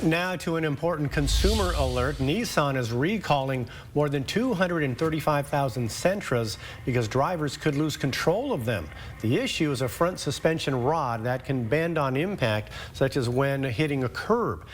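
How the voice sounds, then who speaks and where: A man's voice: a little high, lively intonation, moderate pace, slight background noise. A man with an American accent reading scripted news as a television anchor.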